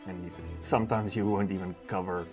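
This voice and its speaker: A man with a South African accent talking casually in an interview as a professional hunter, in plain, slightly halting English. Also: low voice, fairly even intonation, over music. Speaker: professional hunter, safari outfitter.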